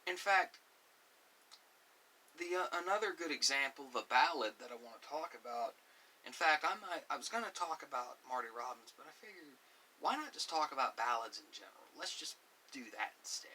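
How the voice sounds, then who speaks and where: A man's voice: fairly high pitch, animated, fairly quick, pushed and bright. A man rambling informally in an ASMR music talk, musing and opinionated, often drifting into asides. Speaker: host of an ASMR channel. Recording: distant mic with hiss.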